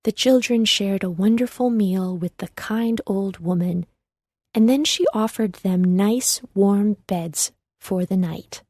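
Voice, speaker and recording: A woman narrating a children's storybook in a measured read-aloud manner. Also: medium pitch, dark tone, dry recording, clean studio sound.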